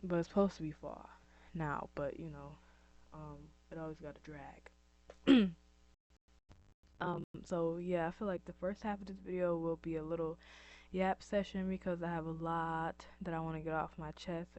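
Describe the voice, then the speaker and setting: A young woman with a dry, scratchy throat chats to her camera in an unhurried, meandering way, filling pauses with ums and likes. The sound is dull and muffled. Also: sits low, a little mellow. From upstate New York. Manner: rambling, lots of ums and likes. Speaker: vlogger. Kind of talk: casual chatty vlog. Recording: dull lo-fi recording.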